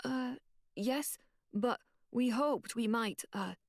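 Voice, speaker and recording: A woman's voice: on the high side, slow pace, gravelly, no room sound, clean studio sound. A woman doing a hesitant, earnest young boy. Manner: hesitant, stammering with fillers.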